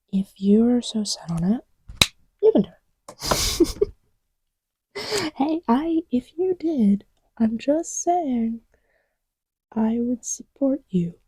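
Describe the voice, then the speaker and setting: A woman's voice: medium pitch, warm tone, husky, no echo, rough recording. A woman chatting casually and intimately in a playful, rambling, teasing way, often dropping to a near-whisper, as if to a partner beside her. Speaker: audio roleplay creator playing a partner to the listener. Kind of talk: casual intimate roleplay chat while testing markers.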